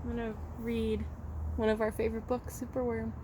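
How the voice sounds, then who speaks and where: A woman reading a children's picture book aloud as a childcare storyteller, expressive and rhythmic with the rhymes. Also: a little high, a little fast. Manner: expressive, rhythmic rhyming delivery. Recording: faint background noise.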